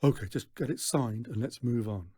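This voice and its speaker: Guy doing a gruff sneery big bloke from a kids story, kinda husky. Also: mid-range voice, lively intonation, normal speed, dark tone, no room sound, studio quality. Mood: grudging. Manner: scornful, grudging, grunting.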